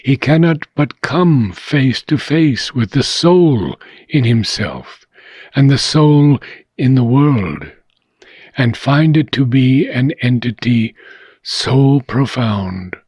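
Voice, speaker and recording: Guy reading a philosophy audiobook really slowly, lots of pauses, mid pitch, dry close sound. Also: expressive, darkish tone, breathy edge, no room sound. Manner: measured, deliberate phrasing with frequent pauses. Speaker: reader of a philosophical book.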